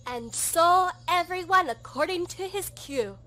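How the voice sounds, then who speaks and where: A woman's voice: very high-pitched, lively intonation, unhurried. A woman playing a bossy, easily exasperated director of amateur players, commanding and theatrical, in a Shakespearean radio play.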